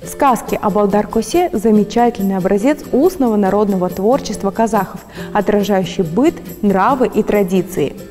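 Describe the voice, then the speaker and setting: A woman narrates a fairy tale in an even, mellow storytelling voice. Her voice carries a long hall reverb, with faint music underneath. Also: medium pitch, moderate pace, few pauses.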